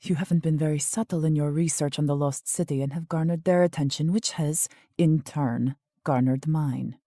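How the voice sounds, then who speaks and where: Imperious female royal spy played by an actress, cryptic and formal, deep mellow voice, faint music behind. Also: fairly even intonation, normal speed, no echo, studio quality. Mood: cool, cryptic. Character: mysterious, commanding female royal agent, coolly condescending. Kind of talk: steampunk audio drama acting.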